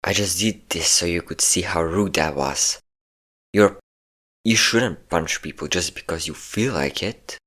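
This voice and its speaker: Man acting as ancient male vampire, low-pitched voice, flirtatious, taunting, menacing, intimate audio roleplay. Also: fairly bright tone. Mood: smug, taunting. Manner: taunting, flirtatious, slow endearments.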